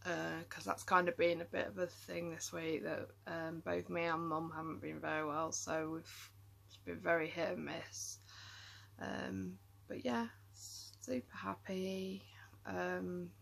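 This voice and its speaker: A woman talking casually to camera, tired and rambling, with many ums and half-finished thoughts. Her voice is bright and forward but catches into a gravelly fry. She sounds worn out yet keeps chatting. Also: fairly low pitch. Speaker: vlogger.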